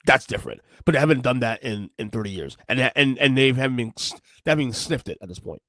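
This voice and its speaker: A man's voice: medium pitch, expressive, fast, fairly bright tone, dry recording. Podcast host, man in his 40s, emphatic, rambling, swears, dry close sound. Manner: rambling, emphatic, repeats himself, curses. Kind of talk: casual commentary on video clips.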